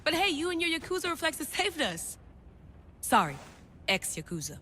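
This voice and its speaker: An actress playing a young modern agent in a video game, casual and teasing in manner. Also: female voice, sits high, very animated, moderate pace, pushed and bright, slight background noise, exaggerated voice. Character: young female modern agent, curious and restless. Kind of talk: video game cutscene acting.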